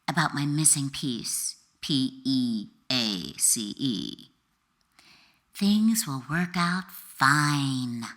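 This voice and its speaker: A woman author reading her own memoir as an expressive audiobook narrator, with a dramatic, deliberate delivery and emphatic pauses. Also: sits low.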